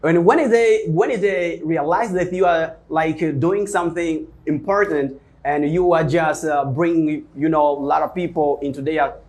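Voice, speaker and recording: A man's voice: a little high, unhurried, few pauses, a little mellow. A man whose first language is Portuguese, answering interview questions in English as a guest teacher, with lots of fillers and restarts. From Africa. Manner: rambling, many fillers and restarts.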